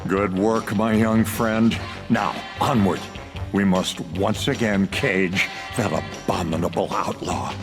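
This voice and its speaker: Earnest campy superhero, pompous, ornate declamation, lowish pitch, video game, prominent music behind. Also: male voice, steady tone, takes it slowly. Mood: resolute. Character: earnest, pompous adult male campy superhero crime fighter. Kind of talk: campy 1960s superhero acting.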